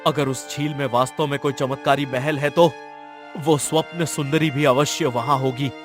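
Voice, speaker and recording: A man's voice: medium pitch, fairly even intonation, moderate pace, over music, close to an everyday voice. A man playing a lovestruck, commanding king in a cartoon story, speaking in formal, stately diction. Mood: earnest, regal. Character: royal king, commanding, lovestruck by a dream woman. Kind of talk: Hindi cartoon story dialogue.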